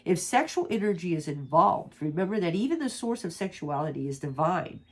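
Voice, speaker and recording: Woman, congested, husky, low-pitched, soft-spoken reading voice. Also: lively intonation, brisk. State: congested from allergies. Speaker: oracle card reader. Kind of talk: reading aloud from a guidebook with asides.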